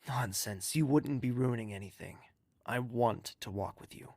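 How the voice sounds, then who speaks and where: A courtly, protective man in an audio drama. He speaks gently and earnestly at a medium pitch, with formal, reassuring phrasing. The recording is very dry and intimate. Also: moderate pace, creaky, no echo. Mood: courtly, coaxing. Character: gentle, courtly, protective confidant with a wounded past.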